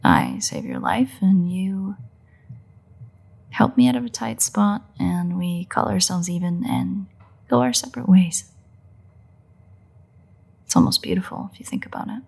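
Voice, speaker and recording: Woman performing a female vampire, audio roleplay, sardonic, playful menace, gravelly low-end fry, unhurried pauses, secretly soft-hearted. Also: wildly swooping pitch, full character voice. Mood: brisk, matter-of-fact. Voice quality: husky, creaky, often breathy. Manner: wry, teasing, unhurried delivery with pauses. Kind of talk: scripted roleplay audio drama monologue.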